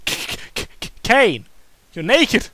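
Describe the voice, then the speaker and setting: A man voicing a hot-tempered small bearded male wrestler in a comic story reading, loud, snappy and exasperated. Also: extremely high pitch, wildly swooping pitch, unhurried, a little hiss or hum, voice pushed up, heavily acted. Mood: shocked.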